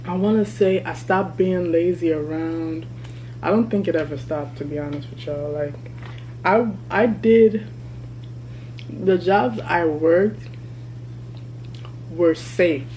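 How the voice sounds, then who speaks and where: A woman in her thirties talking candidly to her vlog camera while she eats, chatty and relaxed. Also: on the low side, slow, long pauses, a little hiss or hum, low-quality recording. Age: 30s. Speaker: vlogger. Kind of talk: casual mukbang vlog chat. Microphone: camera mic.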